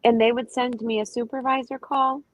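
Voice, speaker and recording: A woman's voice: on the high side, level tone, normal speed, phone-quality sound. A middle-aged woman with a young-sounding voice, chatting on a live stream and rambling through her stories. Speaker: panel guest. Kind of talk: casual chat on a live stream. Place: indoors at home. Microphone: computer mic on a video call.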